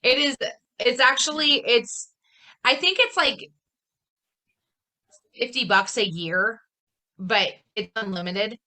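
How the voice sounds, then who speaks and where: Bright-voiced woman chatting casually. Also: fairly high pitch, slow, pauses often. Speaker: podcast host. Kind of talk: casual chat.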